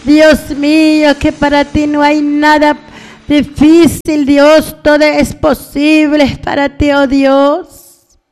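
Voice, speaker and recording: High-pitched woman praying fervently over faint music. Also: monotone, slow pace, a little mellow. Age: middle-aged or older. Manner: pleading, repeatedly calling out to God in short phrases. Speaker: evangelical preacher praying on a radio programme. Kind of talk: fervent spontaneous intercessory prayer.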